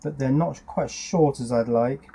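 A man's voice: mid-range voice, normal speed, dark tone, a little hiss or hum. A middle-aged man narrating hands-on electronics work casually, thinking aloud as he goes. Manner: informal, thinking aloud while working. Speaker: electronics hobbyist presenting his workshop project. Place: small wooden garden shed workshop.

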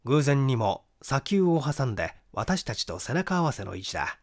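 A man narrates a mystery novel as an audiobook. His voice sits at a middle pitch and moves expressively, with a dramatic storyteller's delivery. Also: sing-song, moderate pace. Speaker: audiobook reader, stage actor.

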